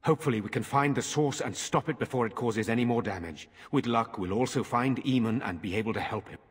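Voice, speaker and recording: An actor playing a grave, practical nobleman knight in a fantasy video game, speaking in a measured, formal way. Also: mid-range voice, husky, natural voice. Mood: earnest. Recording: long hall reverb.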